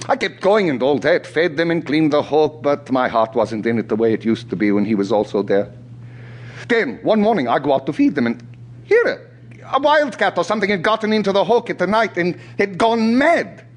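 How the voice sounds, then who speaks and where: An actor playing a restless, talkative South African ex-soldier in an audio drama, chatty and colloquial. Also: male voice, on the high side, lively intonation, normal speed. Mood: downcast, rambling. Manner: chatty, colloquial, punctuated by sighs and short laughs. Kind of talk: audio theatre acting.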